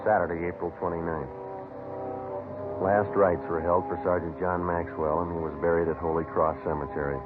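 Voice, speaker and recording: A man's voice: deep voice, little pitch movement, normal speed, over loud music, close to an everyday voice. An actor playing a terse police detective sergeant, narrating his case in a clipped, matter-of-fact way in a radio drama. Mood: deadpan. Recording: muffled old 1950 radio recording.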